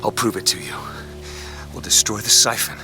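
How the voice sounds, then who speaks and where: An actor playing a gruff, blunt, hot-tempered and haunted action hero in a dramatic video game. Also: male voice, fairly low pitch, steady tone, normal speed, music in the background, close to an everyday voice. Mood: subdued, defensive.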